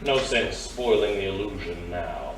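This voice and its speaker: An actor playing a suave, menacing crime boss in a noir radio drama, courteous, measured and wordy. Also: male voice, low-pitched, animated. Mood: calm menace. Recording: roomy, distant, faint background noise.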